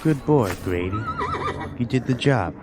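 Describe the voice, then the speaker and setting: Man as gruff, animated racehorse boss. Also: medium pitch, measured pace, music in the background, natural voice. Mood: curt. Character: gruff, evasive racehorse owner, the jockey's boss. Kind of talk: radio drama acting.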